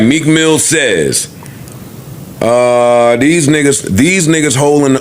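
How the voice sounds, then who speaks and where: A man's voice: on the low side, a little hiss or hum. A man hosting a podcast in casual panel talk. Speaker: podcast host.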